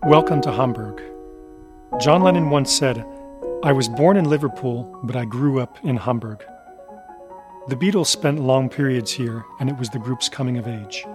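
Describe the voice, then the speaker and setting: A man's voice: medium pitch, moderate pace, over music. A man delivering scripted documentary-style narration as a narrator.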